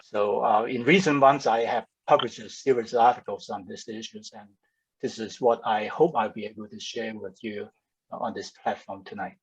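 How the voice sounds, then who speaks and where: Older man, husky. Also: on the low side, fairly even intonation, moderate pace, muffled recording. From Hong Kong. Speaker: panelist, scientist. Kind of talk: formal self-introduction. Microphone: video call.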